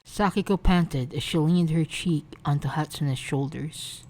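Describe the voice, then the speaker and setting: A woman reading romantic fan fiction aloud as narrator, in a soft scripted storytelling style. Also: low-pitched, a little slow.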